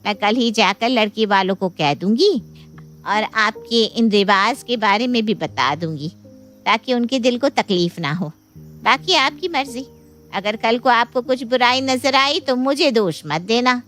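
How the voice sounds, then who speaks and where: A bustling younger-sister matchmaker, played by a woman pitches her case in a high voice over faint music. She is boastful and persuasive, gushing through storybook dialogue. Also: a little slow. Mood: obliging. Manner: gushing, persuasive, animated phrasing. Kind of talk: dramatised Hindi moral story dialogue.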